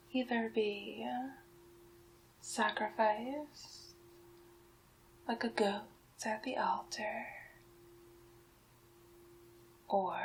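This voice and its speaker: A woman playing a sly, menacing town witch in a soft-spoken, whispered ASMR roleplay, murmuring deliberately. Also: slight background noise, rough recording. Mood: menacing, coaxing. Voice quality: soft, breathy whispering mixed with low-volume speech.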